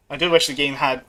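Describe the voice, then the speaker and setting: A man's voice: fairly high pitch, noisy background. A man hosting his game stream from a shared room, chatting casually with wry, morbid humor and plenty of fillers. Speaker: streamer and channel host. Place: shared room at a home gaming gathering.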